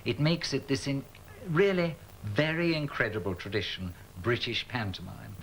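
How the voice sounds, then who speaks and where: A man's voice: medium pitch, moderate pace, creaky, fairly bright tone, a little hiss or hum. A male pantomime performer talking enthusiastically and thoughtfully about the tradition in an interview.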